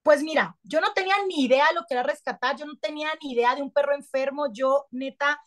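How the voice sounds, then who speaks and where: A lively woman in her thirties tells her story in a casual interview, with a lot of slang and her hands always moving. Her voice sits high. She is on a webcam call from a small room indoors. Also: quick pace. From Colombia. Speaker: actress and TV host, guest. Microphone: webcam on a video call.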